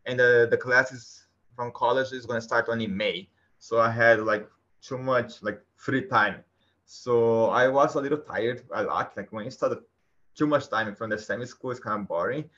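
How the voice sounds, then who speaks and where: A man from Brazil with a Brazilian Portuguese accent, answering interview questions in a halting way with many fillers. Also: mid-range voice, sharp tone. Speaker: guest. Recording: dull lo-fi sound.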